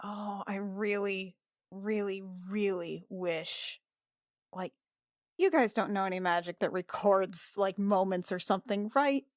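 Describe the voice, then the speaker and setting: A woman's voice: medium pitch, unhurried, no echo. Dry-sounding, close woman bantering casually. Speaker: tabletop game player.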